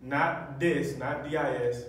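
A man's voice: on the high side, fairly even intonation, takes it slowly, a bit bright, a little hiss or hum. A young man, a comedian guest, in laid-back casual banter. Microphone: far camera mic. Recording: echoey room from far off.

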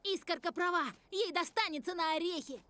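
A woman voicing a bold, insistent young female pony in an animated children's dub. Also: extremely high pitch, fairly quick. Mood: indignant. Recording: music behind the voice.

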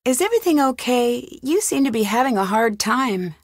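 An actress playing a young amateur detective in a video game, upbeat, caring and wry, with a friendly, lightly teasing delivery. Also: female voice, on the high side, sing-song, normal speed, no room sound, clean studio sound. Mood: reassuring. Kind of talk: scripted video game voice acting.

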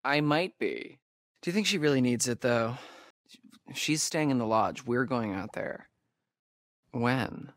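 A man's voice: mid-range voice, lively intonation, a little slow, long pauses, a bit bright, no echo, studio quality. A young man with an American accent playing a sarcastic, nervy camp counselor in a video game, speaking in a hesitant, stammering way. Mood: wry, uneasy. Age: young adult. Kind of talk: naturalistic video game acting.

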